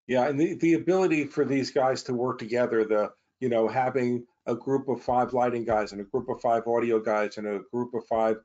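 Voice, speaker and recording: A man's voice: mid-range voice, fairly even intonation, fairly quick, hardly any pauses, a little mellow, dull audio. A man speaking as a company guest, informally explaining technical matters on a webinar over a video call.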